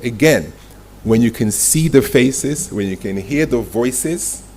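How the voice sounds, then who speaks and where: A man's voice: mid-range voice, lively intonation, slow, darkish tone. A man presenting a motivational masterclass to a live audience, telling stories emphatically in short dramatic phrases with rhetorical questions. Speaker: masterclass speaker, communications executive. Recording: clearly noisy live room recording.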